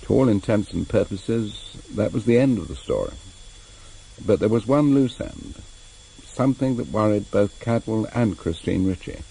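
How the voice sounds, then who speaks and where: A man playing a sombre, measured narrator of a radio spy drama, gravelly and husky. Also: on the low side, lively intonation, warm tone, exaggerated voice, low-quality recording. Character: sombre, measured male storyteller of an espionage tale.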